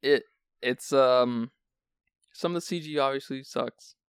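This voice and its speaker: A man with a raspy, gravelly voice hosts a casual movie podcast and rambles along in a relaxed, sleepy way. His tone is smooth and resonant under the grit, recorded dry and close with no room sound. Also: medium pitch, measured pace, dry recording. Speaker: podcast host. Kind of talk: casual film podcast chat.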